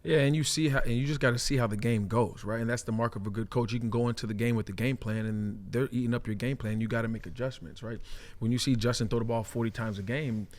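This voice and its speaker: A man's voice: medium pitch, steady tone, fast talker, a little mellow. A man with an American accent analysing football casually on a podcast, often tagging his points with a checking question. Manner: often tags statements with "right?". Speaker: former player and podcast analyst.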